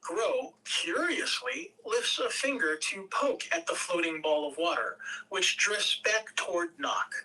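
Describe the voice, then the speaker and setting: Bright-voiced woman narrating a script. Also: on the low side, lively intonation, recorded from a distance, poor audio. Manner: measured, occasional stumbles over words. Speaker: narrator reading stage directions. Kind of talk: script narration at a table read.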